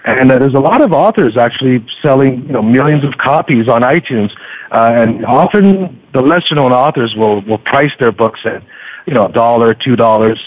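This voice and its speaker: A man talking casually and somewhat haltingly as a guest in an interview. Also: medium pitch, expressive, no room sound, lo-fi sound. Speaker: podcast guest, book reviewer.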